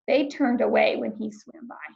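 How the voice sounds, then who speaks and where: A woman narrates a children's story with clear, expressive delivery. Her voice sits high with some vocal fry. The recording is dull and lo-fi. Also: moderate pace. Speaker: storybook reader. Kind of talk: children's read-aloud narration.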